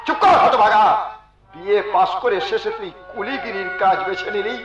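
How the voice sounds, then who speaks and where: An actor playing a stern but loving elder brother, a poor rickshaw puller, in declamatory Bengali folk theatre. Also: male voice, sits high, moderate pace, natural voice. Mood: anguished fury. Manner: declamatory, scolding, repeats phrases. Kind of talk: Bengali folk theatre (jatra) stage acting. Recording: echoey, dull stage recording over music.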